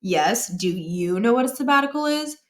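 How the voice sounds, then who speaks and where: A woman voicing a firm, unimpressed mother in a children's storybook, speaking flatly. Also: fairly high pitch, moderate pace, reverberant, lightly acted. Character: firm, no-nonsense mother of a schoolboy. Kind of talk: children's storybook read-aloud.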